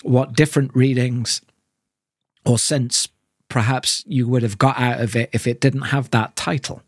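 A man's voice: mid-range voice, steady tone, unhurried, dry recording, clean studio sound. A man hosting a podcast, talking casually and analytically, thoughtful and often questioning, seeking agreement as he goes. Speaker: podcast host.

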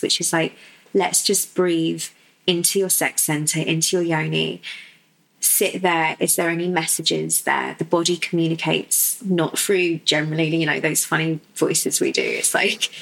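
A woman who works as a sexuality coach, explaining softly and unhurriedly as a guest in a podcast interview, with many fillers. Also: lowish voice, creaky, low-quality recording.

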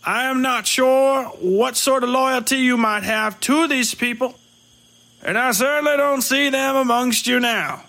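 A man's voice: extremely high pitch, a little slow, pushed and bright, voice pushed up, exaggerated voice. A man voicing a threatening, sardonic lawman in western audio fiction, with a folksy, speechifying manner. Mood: menacing, smug. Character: swaggering male bounty-hunting lawman, threatening, sardonic.

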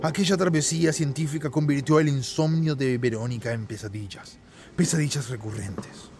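A man's voice: medium pitch, moderate pace. A man narrating a scripted audio drama in a wry, playful, dramatic way, addressing the listener directly. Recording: loud music underneath.

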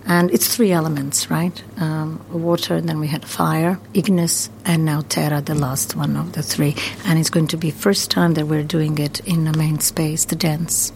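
Low-voiced woman, chatty. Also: little pitch movement, normal speed, gravelly, hardly any pauses, darkish tone, a little hiss or hum, low-quality recording. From Croatia. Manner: chatty, hesitant with many ums. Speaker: theater founder. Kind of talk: guided tour interview.

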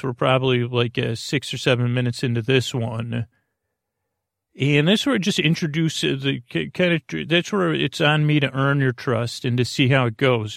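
A man hosting a podcast in a rambling, whimsical monologue, halting and repeating words as he drifts into tangents. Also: medium pitch, creaky, dry recording, studio quality. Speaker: podcast host.

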